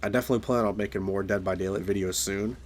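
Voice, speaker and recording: Young man, casual creator chat, slightly lowish voice, still raspy after a cold, rambling with fillers. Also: fairly even intonation, racing. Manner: rambling, many fillers and restarts. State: raspy voice from getting over illness. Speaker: gaming video creator. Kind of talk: casual solo commentary.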